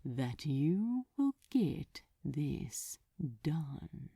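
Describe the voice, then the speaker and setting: Woman acting as male demon lord, low, slow, solemn, silky menace, hissing grandiose storybook villain. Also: big pitch swings, vocal fry, exaggerated voice. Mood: soft, alluring.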